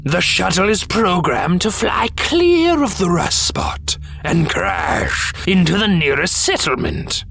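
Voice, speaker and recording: A man voicing a cruel, gloating robot warlord in an animated fan dub, savoring each theatrical, menacing phrase. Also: high voice, slow pace, vocal fry, hardly any pauses, soft background music, full character voice. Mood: gloating menace.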